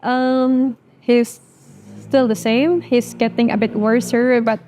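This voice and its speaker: A young woman whose first language is Danish, talking earnestly in an interview and pausing often with fillers. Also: a little high, flat delivery, darkish tone, a little hiss or hum. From Denmark. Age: young adult. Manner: earnest, halting with frequent fillers. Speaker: interview guest. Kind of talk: personal interview.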